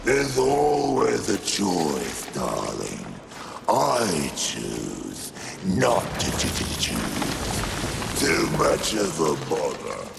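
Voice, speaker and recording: A huge, languid demon lord with an extremely deep, gravel-heavy voice that swings wildly in pitch. He drawls with sardonic, theatrical weariness, in an echoing video game scene. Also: huge pitch swings, drawn-out words, heavy background noise, heavily acted. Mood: lazy, condescending. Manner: drawling, theatrical, condescending, weary. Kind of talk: video game cutscene acting.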